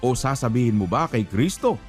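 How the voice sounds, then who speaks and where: A man's voice: medium pitch, sing-song, fairly quick, soft background music. A man preaching in an admonishing, emphatic way, asking rhetorical questions and quoting scripture. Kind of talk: religious exhortation with rhetorical questions and scripture quotes.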